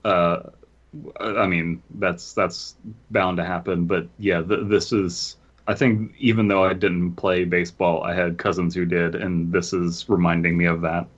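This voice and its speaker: Dude podcast host, low voice, jokey n halting, bit lo-fi mic. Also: male voice, level tone. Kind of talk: casual comedic chat, reading stories aloud. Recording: slightly dull, lo-fi microphone sound.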